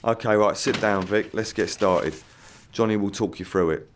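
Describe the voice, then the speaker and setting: Actor as a low-voiced, terse gang boss. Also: male voice, normal speed, a little hiss or hum, natural voice. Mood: commanding, approving. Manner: terse, in charge. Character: commanding, unhurried boss of an armed robbery gang. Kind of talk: comedy radio play acting.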